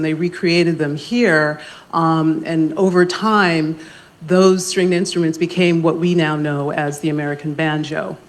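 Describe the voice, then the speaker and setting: Soft-spoken female moderator, lower voice, measured delivery with pauses, at a live library event. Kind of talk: panel discussion opening talk. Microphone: handheld mic.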